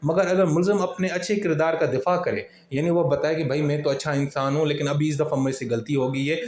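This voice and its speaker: A man's voice: fairly high pitch, darkish tone. A man giving an explanatory exam-prep lecture, restating each point in plain colloquial terms with everyday examples. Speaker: law lecturer. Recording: roomy, echoing sound.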